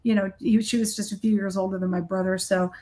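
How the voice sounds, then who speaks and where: A woman's voice: mid-range voice, flat delivery, fast, mellow. Candid middle-aged woman chatting casually. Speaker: guest, rock guitarist and recording artist. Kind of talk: casual interview chat.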